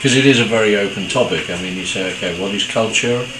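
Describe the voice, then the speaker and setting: Low-voiced man in an echoey room. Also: brisk, background noise. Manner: uncertain, fillers and restarts. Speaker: interviewee. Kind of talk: interview answer. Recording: roomy with background ambience.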